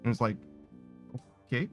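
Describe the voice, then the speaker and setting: Young man, casual stream chat, rambling, restarts, faint music behind. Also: darkish tone. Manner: rambling, halting, many restarts. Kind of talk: casual chat while gaming.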